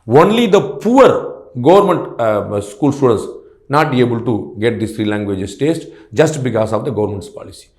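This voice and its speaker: A man's voice: mid-range voice, sing-song, normal speed, darkish tone, roomy sound. Male interview guest, pointed and repetitive, with many fillers and restarts. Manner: rhetorical questions, frequent fillers and restarts. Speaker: political guest commentator. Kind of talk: interview answers, argumentative.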